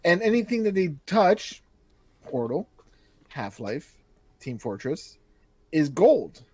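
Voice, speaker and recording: A young man co-hosting a gaming podcast in casual chat, jokey and animated, slipping into silly voices for stories. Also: fairly high pitch, takes it slowly, slightly rough, no echo. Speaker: podcast co-host.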